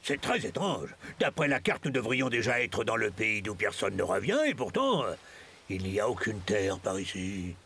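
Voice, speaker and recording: A man voicing a bearded Viking follower in a children's cartoon, gravelly, earnest and stammering with over-dramatic alarm, music behind. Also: lowish voice, big pitch swings, normal speed, fairly bright tone, a little hiss or hum. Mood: puzzled. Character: bearded adult male Viking, excitable, easily alarmed follower.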